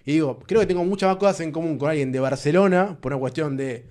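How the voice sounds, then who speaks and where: A man's voice: a little high, fast, a little mellow. A man from Buenos Aires with a porteño Spanish accent, a podcast host thinking aloud hesitantly in casual talk.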